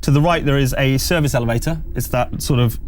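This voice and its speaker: A man's voice: mid-range voice, fast talker, slight background noise. A man working as game master, improvising tabletop narration in a conversational, explanatory way with hesitant phrasing.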